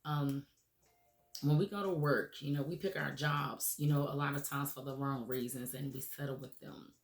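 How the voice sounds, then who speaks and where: A woman host gives an earnest, encouraging monologue, her voice raspy as it comes back from laryngitis. She speaks at an even, level pitch, checking in with listeners now and then. The sound is roomy, with a noticeable echo. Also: moderate pace, soft background music. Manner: earnest, encouraging, frequent "okay?" and "um". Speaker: radio show host. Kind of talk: motivational talk show monologue.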